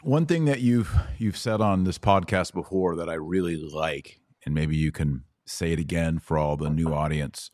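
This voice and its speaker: A man with a low-pitched voice in a dry, close recording. He hosts a podcast, speaking conversationally as he interviews his guest. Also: normal speed, hardly any pauses, a little mellow, dry recording. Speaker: podcast host.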